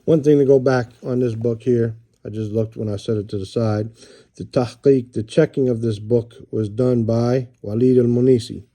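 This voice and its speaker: A middle-aged American man showing and describing books in a relaxed, rambling way, with many fillers and restarts. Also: mid-range voice, moderate pace. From United States. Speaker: book reviewer. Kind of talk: casual book show-and-tell.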